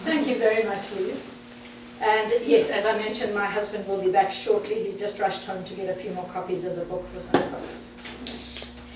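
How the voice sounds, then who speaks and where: A woman's voice: mid-range voice, steady tone, normal speed, noisy background. South African woman speaking in an echoey hall. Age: 60s. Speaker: guest speaker. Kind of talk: presentation to an audience. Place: meeting hall. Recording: echoey hall, mic far off.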